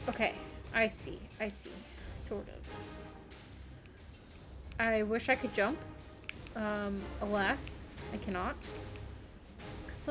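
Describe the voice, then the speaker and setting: A woman livestreamer giving casual gameplay commentary, muttering questions and reactions to herself as she plays. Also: fairly high pitch. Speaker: video game streamer.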